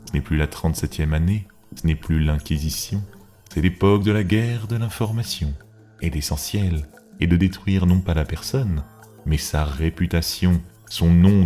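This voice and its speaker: A man playing a cold, scheming secret service official in a cartoon, speaking in a calculating, measured way. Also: low voice, mellow, natural voice. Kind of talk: cartoon voice acting.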